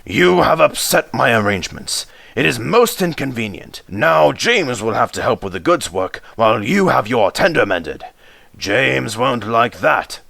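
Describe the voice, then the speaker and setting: A man voicing a stern, pompous railway controller with slow, weighty disapproval in a children's story. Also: medium pitch, very animated, gravelly, a bit bright, no room sound, full character voice. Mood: indignant.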